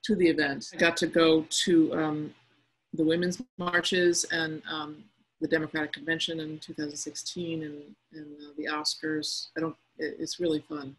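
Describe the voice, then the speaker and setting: A middle-aged woman, a cartoonist, talking casually and reflectively over a video call. She speaks in a quiet, soft tone and often pauses to find her words. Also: low-pitched, little pitch movement, slightly rough, low-quality recording. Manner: quiet, soft-spoken tone with frequent hesitations. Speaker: cartoonist speaking to young listeners. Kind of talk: casual reflective talk answering questions. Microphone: video conference call.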